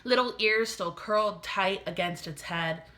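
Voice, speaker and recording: A woman reads a children's story like a teacher to young pupils. Her voice is bright and medium-pitched, and her phrasing is careful and expressive. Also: a little slow. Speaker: elementary teacher reading aloud. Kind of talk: expressive scripted storybook narration.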